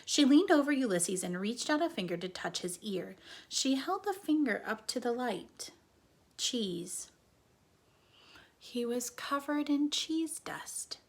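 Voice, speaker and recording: Expressive woman storyteller, lively and high-pitched. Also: slow. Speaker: read-aloud storyteller. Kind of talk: expressive children's book narration.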